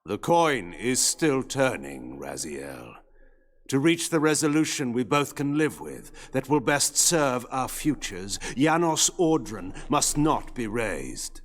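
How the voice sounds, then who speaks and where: An actor playing a proud, ancient vampire lord in a video game, grave and measured. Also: male voice, big pitch swings. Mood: grave, cryptic. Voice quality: husky, gravelly low voice. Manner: measured, imperious, theatrical delivery. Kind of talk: dramatic video game cutscene acting.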